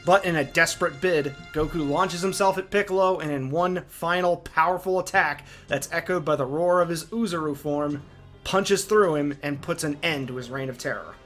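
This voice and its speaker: Emphatic male video essayist, soft music behind. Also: a little high, moderate pace. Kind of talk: opinionated video essay commentary.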